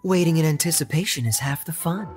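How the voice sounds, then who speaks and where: A man playing a sly, playful young knight in a video game, with smooth, polished teasing. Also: fairly high pitch, fast, over music, natural voice. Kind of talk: video game cutscene acting.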